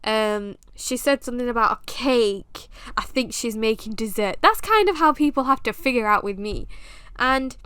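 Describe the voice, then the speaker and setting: A woman's voice: fairly high pitch, animated, normal speed, a bit bright, clear, resonant tone, no echo. A young British woman with a British accent, hosting a podcast and talking candidly, with self-mocking humor, in a casual monologue. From England. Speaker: podcast host.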